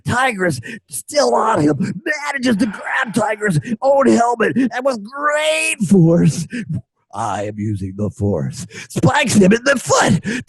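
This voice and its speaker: A man narrating an amateur radio play in a raspy, hammy, playful voice, often shouting and dropping joking asides. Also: high-pitched, no echo.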